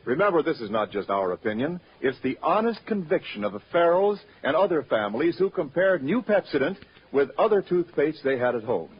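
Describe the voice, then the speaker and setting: Animated American man, vintage radio announcer. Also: on the high side, brisk, a little hiss or hum. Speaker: radio announcer and sponsor pitchman. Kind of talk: 1940s radio announcing, scripted ad read. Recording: muffled vintage radio broadcast recording.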